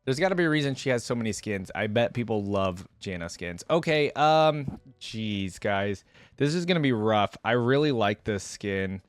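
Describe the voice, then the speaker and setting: A man's voice: on the low side, animated, normal speed, no room sound. A man hosting a casual reaction video, talking to viewers in an informal, slangy, exclamatory way.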